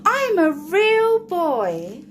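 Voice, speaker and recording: A woman voicing an excitable, earnest little wooden puppet boy in a storybook, exclaiming his words. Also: extremely high pitch, drawn-out words, a little hiss or hum, voice pushed up, exaggerated voice. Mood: overjoyed.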